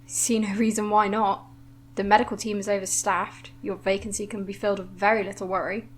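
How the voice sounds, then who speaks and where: A woman's voice: medium pitch, flat delivery, fairly quick, slight background noise, natural voice. A woman playing an affable, businesslike colony administrator in a science-fiction audio drama. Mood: genial, teasing.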